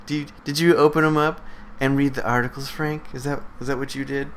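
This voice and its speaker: A man's voice: mid-range voice, steady tone, quick pace, slight background noise. A man co-hosting a podcast with casual, teasing banter. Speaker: podcast co-host.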